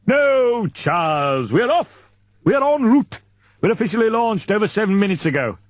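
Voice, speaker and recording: A man voicing a fatherly, authoritative male expedition leader in an audiobook, booming and pompous, with wordy formal speech. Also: big pitch swings, normal speed, dry recording, clean studio sound. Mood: exasperated. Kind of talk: audiobook character dialogue.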